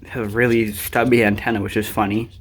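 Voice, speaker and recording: A man with a fairly low voice presents things from his collection in an unscripted, casual way. He rambles offhandedly and mutters asides to himself. The amateur home recording has some noise behind him. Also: little pitch movement, quick pace. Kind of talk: casual unscripted show-and-tell. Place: indoors, at home by a desk. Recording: clearly noisy amateur recording.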